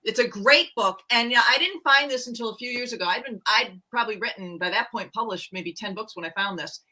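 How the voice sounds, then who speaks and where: A woman's voice: fairly high pitch, fairly quick, bright, forceful tone. A middle-aged woman, a romance author and co-host, chatting candidly and a little self-deprecatingly about writing books. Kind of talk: casual book chat.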